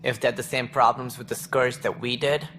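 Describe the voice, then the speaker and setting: An actor playing a calculating, self-assured alien director in a video game, speaking in a measured, formal, condescending way. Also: male voice, mid-range voice, flat delivery, fairly bright tone, a little hiss or hum, close to an everyday voice. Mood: formal, grave. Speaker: director of a space initiative. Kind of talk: video game cutscene acting.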